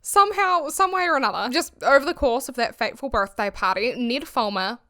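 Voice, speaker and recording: A woman's voice: high voice, expressive, brisk, sharp tone. A woman from New Zealand with a Kiwi accent, hosting a casual commentary vlog from her bedroom, chatty and full of fillers. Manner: chatty, filler-heavy, laughs between phrases. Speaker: YouTube commentary host. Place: bedroom at home.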